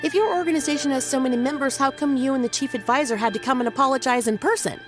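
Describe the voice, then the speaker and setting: A woman's voice: sits high, fast talker, music in the background, close to an everyday voice. A woman voicing an earnest, caring sister in a video game, plain-spoken and exclamatory. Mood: impressed, curious. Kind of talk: scripted video game voice acting.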